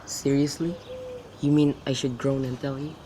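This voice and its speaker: A man's voice: medium pitch, flat delivery, moderate pace, warm tone, close to an everyday voice. A young man playing a lovesick, brooding youth in an amateur radio drama of modern-English Shakespeare, with birdsong behind him. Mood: dejected, evasive. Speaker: student actor. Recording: faint background noise with birdsong.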